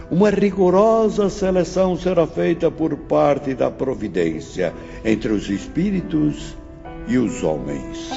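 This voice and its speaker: Man acting a slow, oratorical spirit teacher, swooping delivery. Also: mid-range voice, creaky, heavily acted. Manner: oratorical, emphatic, solemn, didactic. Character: wise, stern, grandiose spirit teacher Epaminondas, male, lecturing disciples. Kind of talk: audiobook drama, declaimed lecture. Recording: muffled recording, long reverb, music behind.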